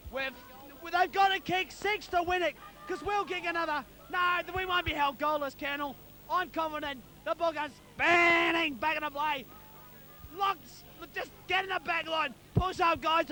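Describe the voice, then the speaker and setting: An Australian man co-commentating live on amateur football, excitedly urging the players on in colloquial talk while losing his voice. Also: very high-pitched, a little slow. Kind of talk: partisan live sports commentary.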